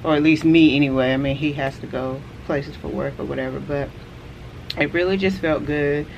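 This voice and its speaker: A woman in her forties, a vlogger, chatting casually to camera on a house porch, relaxed and rambling with lots of fillers. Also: low voice, moderate pace, highs cut off. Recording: outdoor camera audio with clear background noise.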